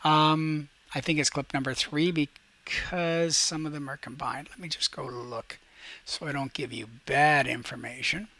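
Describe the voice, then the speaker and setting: A man hosting a software tutorial as a teacher, talking through each step aloud in an instructional way. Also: on the high side, steady tone, measured pace, no room sound.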